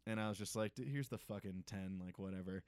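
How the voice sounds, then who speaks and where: A man's voice: low-pitched, fast talker, darkish tone, clean tone, dry recording, studio quality. A young man co-hosting a casual podcast, talking loosely, swearing a lot and laughing often. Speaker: podcast co-host. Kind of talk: casual chat.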